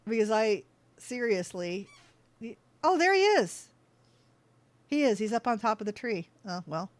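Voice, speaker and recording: A woman's voice: fairly high pitch, big pitch swings, a little slow, no echo. A woman streaming a game, chatting casually with her viewers, easily sidetracked and laughing often. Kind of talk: casual livestream chat while playing.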